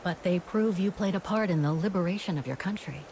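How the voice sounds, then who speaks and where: An actress plays a calm woman investigator in a narrative game. Her voice is a little low, courteous and measured as she probes, with faint ambient noise behind. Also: natural voice. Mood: inquisitive. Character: polite, inquisitive woman investigator, calm and considerate. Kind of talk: video game voice acting.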